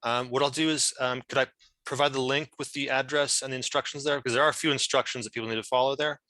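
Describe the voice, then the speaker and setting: A man's voice: mid-range voice, fairly even intonation, fast talker, pushed and bright, no room sound. A man explaining things as a guest at an online meeting, thoughtful and often searching for words. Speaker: member of a whistleblower's legal team, guest speaker.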